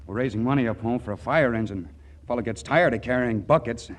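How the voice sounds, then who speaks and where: A man's voice: medium pitch, fairly quick, very noisy, lightly acted. An actor playing an easygoing, naive small-town young man in a 1940s radio comedy, speaking in a folksy, hesitant way. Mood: polite, friendly. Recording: vintage 1940s radio broadcast.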